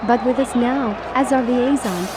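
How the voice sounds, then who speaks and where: Over a loud, noisy outdoor crowd, a woman acts out a solemn otherworldly heroine with a fairly high voice. She speaks to all humankind in grave, measured phrases, like a formal address in an audio drama. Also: steady tone, natural voice. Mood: earnest, grave.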